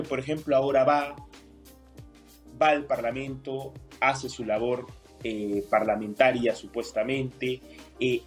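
A man from Peru giving measured political analysis as an interview guest, with many hesitations. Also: medium pitch, darkish tone. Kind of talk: interview on air.

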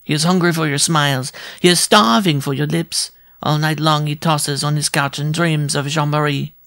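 A man reading a story aloud, voicing a tiny, proud and jealous circus dwarf in a shrill, pompous, impassioned voice. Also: fairly even intonation, normal speed, vocal fry, bright, forceful tone, no echo, studio quality. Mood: ardent.

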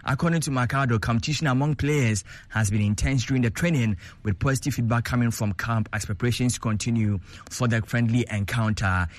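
A man presenting sports news in a steady, formal, scripted delivery. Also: mid-range voice, a little fast, hardly any pauses, dry recording.

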